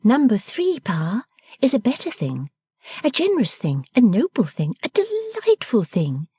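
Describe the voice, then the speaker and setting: A woman's voice: fairly high pitch, wildly swooping pitch, measured pace, dark tone, husky, no room sound, clean studio sound, pitched above the performer's own voice, heavily acted. A woman reader voicing a playful, teasing young woman who talks in an emphatic, theatrical way.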